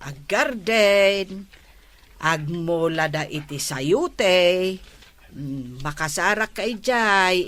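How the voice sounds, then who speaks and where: A woman's voice: fairly low pitch, a bit bright, full character voice. An actress playing a village woman in a radio comedy, explaining things to her neighbor at an easy pace. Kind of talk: radio comedy drama acting.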